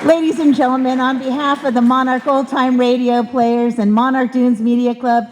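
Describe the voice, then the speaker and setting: A woman's voice: high-pitched, flat delivery, moderate pace, some chatter behind. A woman announcing a curtain call live on stage for a radio theater troupe. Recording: echoey hall with audience.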